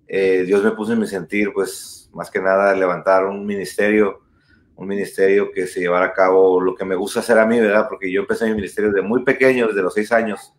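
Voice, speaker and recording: A low-voiced man of thirty speaks over a webcam mic, his words bouncing around a small echoey room. He talks earnestly and haltingly, circling back with fillers and restarts as he explains things. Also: level tone. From born in Texas, raised in San Diego and Tijuana. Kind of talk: casual interview over video call. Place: small room indoors at home. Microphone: webcam mic on video call.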